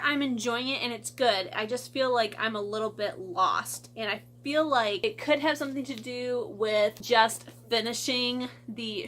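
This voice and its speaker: Bright, chatty stuffy-nosed young woman. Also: a little high, slight background noise. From Michigan, USA. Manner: chatty, upbeat, lots of fillers like "like" and "I feel like". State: stuffy, congested. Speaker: book vlogger. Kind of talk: casual vlog chat.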